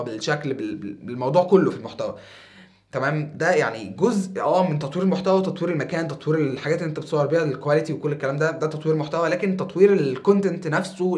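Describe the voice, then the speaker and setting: A young man in his twenties with an Egyptian Arabic accent, talking casually to camera as a YouTuber in a small foam-paneled room, animated and a bit tired. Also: on the high side. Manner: animated, gestures a lot with his hands.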